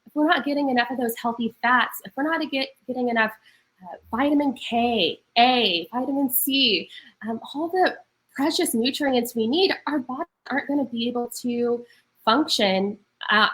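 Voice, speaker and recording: A woman's voice: fairly high pitch, normal speed, a bit bright, low-quality recording. A young adult woman wellness coach, a little congested from a cold, explaining nutrition in an earnest, upbeat podcast chat. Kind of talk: casual podcast talk.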